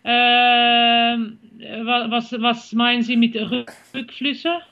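A woman with a Belgian accent gives halting interview answers, stopping on fillers as she reaches for her words. Her voice is sharp and bright. The sound comes through dull and lo-fi, like a call line. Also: on the high side, level tone. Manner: halting, searches for German words with many fillers. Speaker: country singer, interview guest.

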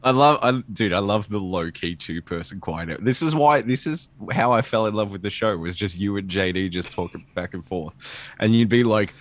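A man's voice: lowish voice, moderate pace. A man co-hosting a podcast in casual, jokey, sweary chat about comics. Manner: jokey, profane. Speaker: podcast co-host.

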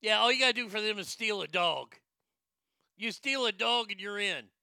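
A man's voice: high voice, moderate pace, vocal fry, sharp tone, clear, resonant tone, dry recording, clean studio sound, pitched above the performer's own voice, full character voice. A man putting on a swaggering gang recruit with a Mexican accent. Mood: boastful. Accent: put-on Mexican accent. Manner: boastful, slangy street talk.

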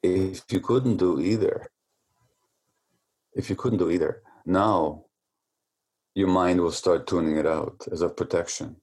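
A man with a very deep, mellow voice explains ideas at length in an interview. He talks like a lecturer, and when he restarts a thought he stumbles over the same word a few times. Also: steady tone, measured pace, long pauses, husky. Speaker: interview guest.

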